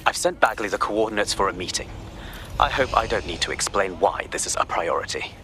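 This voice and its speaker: An actor playing a grave, guarded male intelligence officer in a video game, speaking in a measured, clipped, humourless way. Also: lowish voice, animated, noisy background, lightly acted. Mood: tense, urgent.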